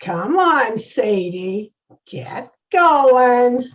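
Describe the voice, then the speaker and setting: A woman's voice: on the high side, sing-song, drawn-out words, dull audio, heavily acted. A woman playing a brusque, suspicious police detective in old-time radio style, giving tough, colloquial orders. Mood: stern, commanding. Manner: clipped commands, tough-cop colloquial talk.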